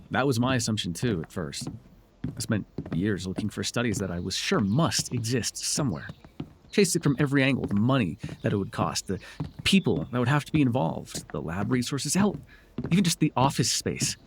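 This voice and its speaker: A man's voice: medium pitch, sing-song, normal speed, gravelly, slight background noise. A man playing a smug, teasing telepath supervillain who mentors a hero, in a wry, theatrical yet conversational ASMR roleplay. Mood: earnest, exasperated.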